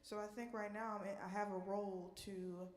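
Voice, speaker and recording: A woman's voice: medium pitch, level tone, moderate pace. American woman hosting in an echoey room. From New Orleans, USA. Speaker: singer and park ranger hosting a concert Q&A. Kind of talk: casual talk to a live audience. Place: indoor museum performance space. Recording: echoey room, live event microphone.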